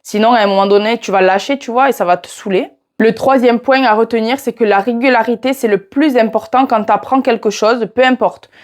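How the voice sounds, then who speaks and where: Young woman, southern French accent, casual vlogger, slightly high voice, talks straight to the viewer. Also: brisk, few pauses. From France. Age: 20s. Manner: chatty, addresses viewer directly with "tu vois". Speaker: YouTuber teaching Portuguese. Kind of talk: casual vlog talking to camera.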